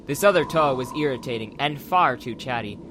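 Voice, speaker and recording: A man's voice: medium pitch, lively intonation, fairly quick, background noise. A man reading scripted audiobook narration as the narrator of a dramatized story. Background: background music.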